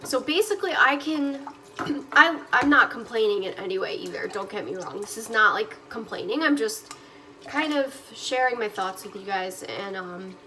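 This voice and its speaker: Quiet-voiced young woman vlogging at her kitchen sink, bright tone, phone on the windowsill, rambly and full of ums. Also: mid-range voice, animated, moderate pace, a little hiss or hum. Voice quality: naturally quiet, soft voice. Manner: chatty, rambling with many ums and restarts. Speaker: vlogger and online reseller. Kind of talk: casual vlog chat while doing dishes. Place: home kitchen at the sink, water running. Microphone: phone propped on a windowsill.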